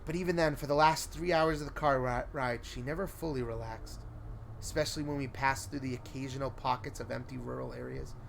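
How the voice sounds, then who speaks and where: A man's voice: mid-range voice, fairly even intonation, fairly quick, creaky, a little hiss or hum. A man reading a scripted first-person horror story in a suspenseful, theatrical way. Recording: prominent music under the voice. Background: music.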